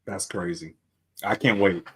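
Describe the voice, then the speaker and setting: A man's voice: sits low, steady tone, a little slow, pushed and bright, breathy edge. A man co-hosting a reaction show, reacting with teasing disbelief. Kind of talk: casual reaction commentary.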